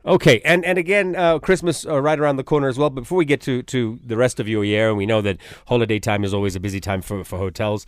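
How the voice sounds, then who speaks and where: A man hosting a morning radio interview, asking long questions with many pauses and restarts. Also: mid-range voice, fairly even intonation, smooth, no echo, clean studio sound. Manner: hesitant, many fillers and restarts. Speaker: radio show host.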